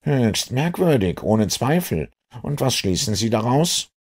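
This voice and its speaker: A man voicing a practical, impatient male police inspector with brisk, matter-of-fact delivery in an audiobook. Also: medium pitch, sing-song, husky. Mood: impressed, curious. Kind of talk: audiobook character dialogue.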